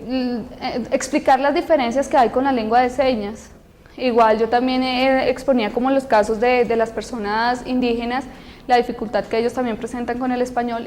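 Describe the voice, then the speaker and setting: A woman interpreting aloud for an interviewee, halting with many fillers and restarts. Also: fairly high pitch, darkish tone, in a large hall. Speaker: sign language interpreter voicing a deaf student. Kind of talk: interview answer, spoken interpretation.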